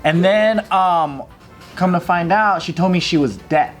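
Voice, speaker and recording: A man's voice: high voice, a little slow, soft background music. A man in his thirties telling a story in casual group chat on a podcast, laid-back, with many fillers. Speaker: podcast co-host.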